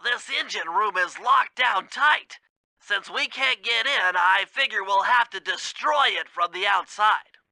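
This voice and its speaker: A man's voice: high-pitched, expressive, a little slow, creaky, sharp tone, dry recording, heavily acted, low-quality recording. A man playing a brainy, nerdy cartoon animal gadget expert in a video game, explaining plans in a nasal, precise, technical way. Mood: focused. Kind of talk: video game mission briefing.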